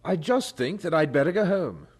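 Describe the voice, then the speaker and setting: A man's voice: fairly high pitch, sing-song, moderate pace, a little mellow, slightly rough. An actor playing a troubled, restless young Englishman in an audio drama, earnest and dramatic in delivery. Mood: anguished, restless. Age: young adult.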